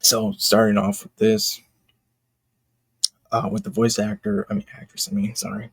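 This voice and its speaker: A man giving casual behind-the-scenes commentary as an indie creator, talking in a halting, rambling, enthusiastic way. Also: lowish voice, level tone, vocal fry, breathy edge, dry recording. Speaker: indie comic creator and video host.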